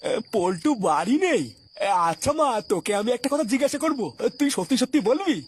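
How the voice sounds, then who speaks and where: Man voicing a gentle, high-pitched old cartoon grandfather. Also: sing-song, a little fast, slightly rough, no echo, heavily acted. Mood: gentle, concerned. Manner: hesitant, coaxing, soothing.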